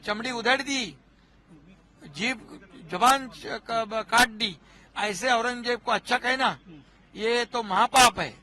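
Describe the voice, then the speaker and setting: An outraged man condemns a statement. His voice is very high and bright. He stammers and restarts as he pushes his point. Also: rough recording. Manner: indignant, stammering. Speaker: interviewee.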